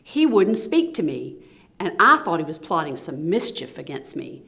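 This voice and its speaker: A woman's voice: deep voice, sing-song, moderate pace, creaky, sharp tone, full character voice. A woman voicing a male python in a storybook, an aggrieved snake defending himself. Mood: defensive protest. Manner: protesting, self-justifying.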